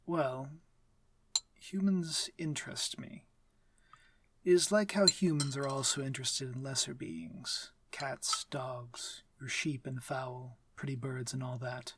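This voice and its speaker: A man playing a courtly, aloof but civil vampire master in an ASMR fantasy roleplay, speaking formally and with measured authority. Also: medium pitch, fairly even intonation, fairly bright tone, husky, no echo, full character voice. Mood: civil, patient. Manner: formal, measured, commanding diction. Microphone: close, dry microphone.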